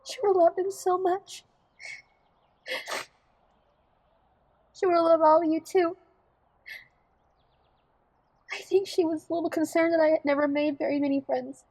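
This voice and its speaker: A woman playing a down-to-earth, warm-hearted young bride in an audio drama, natural and wry in delivery. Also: very high-pitched, fairly even intonation, fairly quick, low-quality recording. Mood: moved.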